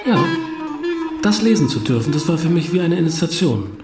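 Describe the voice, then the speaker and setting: An actor playing a reserved, dry middle-aged writer from East Germany in a naturalistic radio drama. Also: male voice, a little high, sing-song, brisk, a little mellow, roomy sound, soft background music. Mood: reflective.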